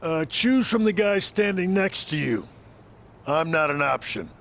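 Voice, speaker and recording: A man's voice: a little high, expressive, unhurried, gravelly, fairly bright tone, slight background noise, full character voice. A man playing a composed, matter-of-fact wrestling trainer in a video game cutscene. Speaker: coach.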